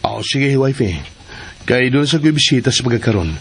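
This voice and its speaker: Caring husband with lively intonation. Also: male voice, mid-range voice, moderate pace, slightly rough. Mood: affectionate. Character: caring, affectionate husband, attentive and concerned. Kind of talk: Filipino radio soap acting. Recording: faintly noisy radio drama recording.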